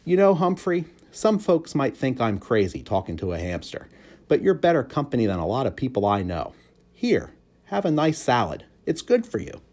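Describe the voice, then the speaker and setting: A man voicing a loud, jolly, chummy janitor in a children's storybook, with an exuberant, folksy manner. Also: mid-range voice, sing-song, moderate pace, voice pushed up. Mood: friendly, chatty.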